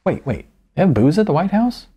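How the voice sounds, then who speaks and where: A man's voice: a little high, very animated, brisk, mellow, husky, voice pushed up, heavily acted. A man voicing a blunt, demanding woman who interrupts with incredulous questions in an audiobook. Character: blunt, demanding woman grilling her friend.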